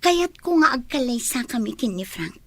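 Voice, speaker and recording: A woman with an Ilocano accent playing a lovestruck, pleading daughter in a radio drama, with a husky voice. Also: on the high side, sing-song, moderate pace, a bit bright, no echo, heavily acted.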